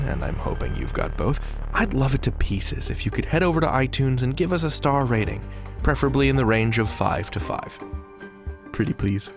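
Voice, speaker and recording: A man hosting a podcast, reading a scripted outro in a theatrical, playful, arch way. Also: medium pitch. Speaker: podcast host. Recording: music bed under studio voice.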